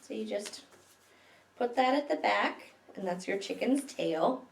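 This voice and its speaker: A woman's voice: mid-range voice, expressive, a little slow, creaky, recorded from a distance. Echoey woman hosting children's story time. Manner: simple, child-directed explaining with questions. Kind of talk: picture-book reading and simple craft demonstration for small children. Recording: echoey room, away from the mic.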